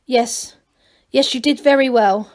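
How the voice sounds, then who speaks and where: A woman's voice: sits high, a little slow, darkish tone, pitched above the performer's own voice. A woman voicing a worried, kindly male gnome leader in a storybook, hesitant and polite.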